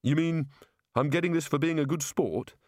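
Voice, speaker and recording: A man's voice: fairly high pitch, normal speed, darkish tone, no room sound, studio quality, pitched above the performer's own voice, natural voice. A man voicing a hapless, weary fugitive wizard in an audiobook story, mild, hesitant and politely wry. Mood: weakly surprised.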